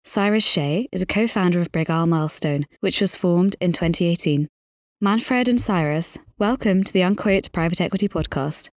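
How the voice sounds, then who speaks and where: A woman's voice: lowish voice, moderate pace, no room sound, clean studio sound. A woman hosting a podcast, delivering a scripted introduction and interview questions. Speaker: podcast host.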